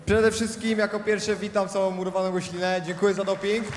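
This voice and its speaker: Young fighter speaking after a win, flat. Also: male voice, high voice, brisk, slight background noise. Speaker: winning fighter. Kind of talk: post-fight interview. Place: indoor arena cage. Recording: echoey hall, crowd behind.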